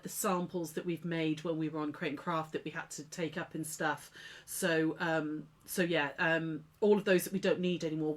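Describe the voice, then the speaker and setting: A middle-aged woman hosting a live craft stream from her chilly home sewing studio. She sounds snuffly and blocked up, chatting casually with plenty of ums and affectionate greetings to her viewers. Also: on the low side. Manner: chatty, warm greetings to viewers, many fillers. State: stuffy nose, snuffly. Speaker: craft shop host.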